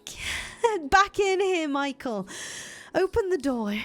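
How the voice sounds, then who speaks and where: A woman voicing a desperate young woman in love, crying and pleading in high shouts. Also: sing-song, slow pace, faint music behind, exaggerated voice. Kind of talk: audio drama acting.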